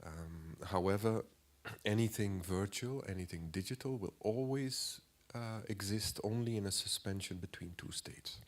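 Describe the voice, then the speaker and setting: Man, 40s, very deep voice, congested from a cold, hesitant lecture delivery with ums and throat clearing. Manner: halting, many fillers and throat clearing. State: has a cold. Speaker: artist giving a talk.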